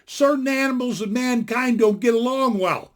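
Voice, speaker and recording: An older man in his sixties with a fairly high-pitched voice speaks from his home into a desktop computer microphone. He talks in a blunt, folksy, opinionated way, rambling and restarting his sentences as he goes. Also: normal speed. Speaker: vlogger. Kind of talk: casual opinion rant to camera. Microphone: desktop computer mic.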